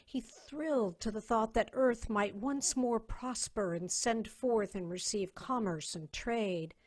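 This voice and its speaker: A woman's voice: fairly high pitch, slow. Woman narrating a dramatic story. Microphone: video call.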